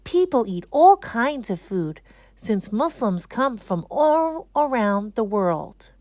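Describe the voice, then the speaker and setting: A woman's voice: on the high side, sing-song, takes it slowly, darkish tone, no echo, clean studio sound, pitched above the performer's own voice. A woman voicing a happy, sincere young schoolboy explaining in a sing-song way, as in a children's storybook. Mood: happy, proud. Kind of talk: children's storybook read-aloud.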